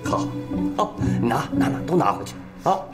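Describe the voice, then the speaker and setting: An actor playing a blustering, wheedling, scheming military officer in a broad comic TV drama. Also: male voice, mid-range voice, sing-song, normal speed, lightly acted. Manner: blustering, cajoling. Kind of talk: broad television comedy-drama acting. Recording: music behind the voice.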